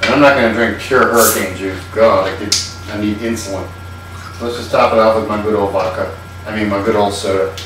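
A man's voice: lowish voice, steady tone, a little fast, distant mic, background noise. Sardonic man in an echoey kitchen. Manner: sardonic, irreverent, swears casually. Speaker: host. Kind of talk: comedic home cooking show. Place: home kitchen.

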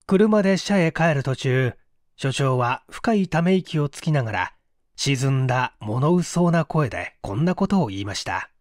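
Expressive male audiobook narrator, lively intonation. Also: medium pitch, normal speed, no room sound, studio quality. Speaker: audiobook reader, stage actor. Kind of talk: scripted literary narration of a mystery story.

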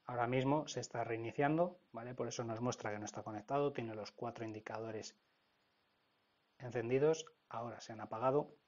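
A man with a Spanish accent from Spain walks through a software procedure step by step, often checking in with "¿vale?". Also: mid-range voice, a little fast, dull audio. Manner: explanatory, frequent "¿vale?" check-ins. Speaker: product trainer. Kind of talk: screen-recorded software tutorial.

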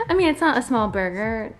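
A woman in her thirties chatting casually while cooking in her home kitchen, recorded on a phone, laughing often. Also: fairly high pitch. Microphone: phone camera.

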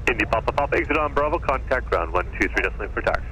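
A man's voice: medium pitch, expressive. A man working as a tower air traffic controller, giving procedural instructions over aviation radio. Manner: brisk procedural phraseology. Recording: very noisy aviation radio transmission.

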